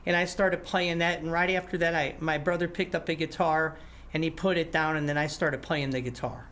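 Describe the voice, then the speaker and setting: An older man, a guest musician, telling his story in a halting, casual way with many restarts and some throat clearing. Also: fairly high pitch, steady tone, a bit bright, slight background noise. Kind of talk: casual interview talk.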